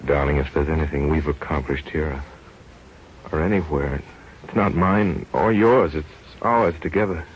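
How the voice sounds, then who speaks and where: An actor playing an earnest, devoted doctor in an old-time radio drama. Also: male voice, a bass voice, moderate pace, slight background noise. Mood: tender. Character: earnest, devoted male doctor running a field experiment. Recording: muffled vintage radio recording with music.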